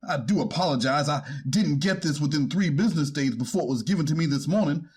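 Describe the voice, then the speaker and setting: A man's voice: on the high side, animated, darkish tone, breathy edge. A man playing a defense attorney pleading formally and deferentially for his client in a courtroom transcript reading. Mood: deferential, earnest. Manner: deferential, formal, halting argument.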